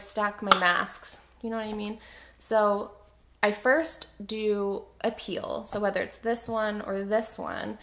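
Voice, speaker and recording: A woman's voice: mid-range voice, fairly bright tone. A young adult woman talking casually to camera, reviewing her skincare favorites with a chatty, enthusiastic manner full of filler words. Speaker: beauty vlogger.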